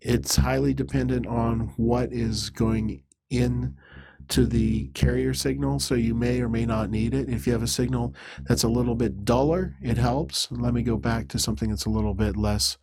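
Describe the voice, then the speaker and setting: A man presenting an instructional software demo in a halting, methodical, step-by-step way. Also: mid-range voice, steady tone, crowd noise.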